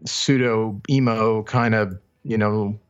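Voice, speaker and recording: A man co-hosting a casual music podcast, talking thoughtfully and haltingly, correcting himself, on a slightly dull recording. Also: on the low side, steady tone, smooth. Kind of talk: casual album discussion.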